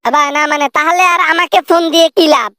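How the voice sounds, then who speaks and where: A woman's voice: sits high, fairly quick, pushed and bright, dry recording, studio quality. A woman voicing a self-pitying, defensive deposed woman leader in a comic cartoon phone call, with a Bangladeshi Bengali accent. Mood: wounded.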